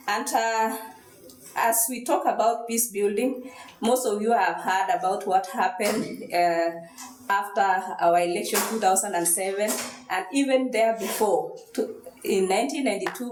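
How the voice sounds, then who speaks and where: A woman giving an earnest, steady presentation as a social worker, pausing often on fillers. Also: mid-range voice. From Kenya, Rift Valley. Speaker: social worker and conference speaker. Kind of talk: prepared presentation. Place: university conference hall. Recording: echoey hall.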